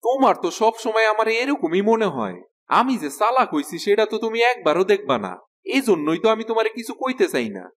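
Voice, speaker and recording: An actor playing a naive, self-satisfied village farmer and husband in a cartoon, with a rural Bangladeshi Bengali accent. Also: male voice, high-pitched, sing-song, fairly quick, fairly bright tone, heavily acted. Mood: boastful, defensive. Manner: naive, earnest, boastful. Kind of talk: cartoon voice acting.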